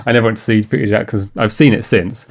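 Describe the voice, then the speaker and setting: A man's voice: fairly low pitch, steady tone, fast, breathy edge. A man co-hosting a podcast, talking in a casual, rambling, conversational way about films. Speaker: podcast co-host. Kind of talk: casual film discussion.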